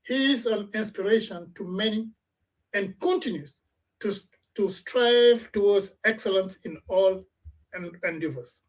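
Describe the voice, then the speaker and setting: A woman hosting a radio talk program, giving formal introductions and moderating a panel in a measured way with frequent restarts. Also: mid-range voice, distant mic, rough recording. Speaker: radio talk show host. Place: in Toronto.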